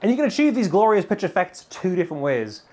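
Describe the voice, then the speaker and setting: A man's voice: high voice, big pitch swings, fast talker, mellow. A man in his thirties with a British accent, giving a chatty, enthusiastic, informal gear demonstration. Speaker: guitar gear reviewer. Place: small home studio full of guitars and amps. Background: electric guitar playing.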